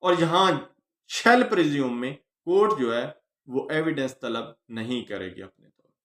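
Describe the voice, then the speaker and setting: A man with a Pakistani accent giving an explanatory law lecture, teaching phrase by phrase with pauses. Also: medium pitch, lively intonation, a bit bright. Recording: echoey room.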